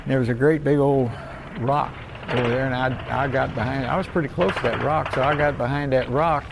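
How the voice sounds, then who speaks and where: A man's voice: mid-range voice, fairly even intonation, a little fast, vocal fry, background noise. An elderly American war veteran, a man, telling his memories in a folksy, rambling, colloquial way. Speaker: WW2 veteran recalling service. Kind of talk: spontaneous oral history storytelling.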